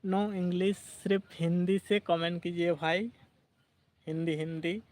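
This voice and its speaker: A man's voice: sits high, fairly even intonation, unhurried, a little mellow. A man hosting a casual livestream, chatting, reading out viewer comments and laughing often. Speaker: livestream host.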